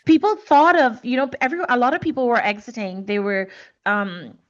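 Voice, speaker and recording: High-voiced woman from Trinidad and Tobago. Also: animated, brisk, muffled recording. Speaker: podcast host. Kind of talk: reflective conversational podcast talk.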